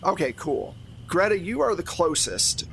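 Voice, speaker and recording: A man with a slightly higher-pitched voice runs a tabletop roleplaying game. He narrates the action and explains rules, checking in with players. The recording has clear background noise. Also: big pitch swings, measured pace, fairly bright tone. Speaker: game master.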